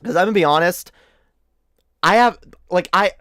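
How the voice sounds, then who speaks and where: Stream host, male, from Canada, keeps dissolving into laughter; smooth clear tone, a touch higher than most men. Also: normal speed, pushed and bright, dry recording, studio quality. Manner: giggly, bursts into high-pitched laughter. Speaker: streamer reacting to old clips. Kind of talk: casual drunk reaction stream.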